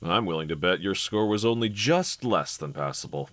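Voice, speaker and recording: A man playing a gentle, encouraging husband in an intimate scripted roleplay audio, speaking in a considerate, reassuring manner. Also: deep voice, expressive, moderate pace, no echo. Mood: encouraging, supportive. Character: gentle, encouraging adult husband, calm and caring. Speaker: character audio voice actor.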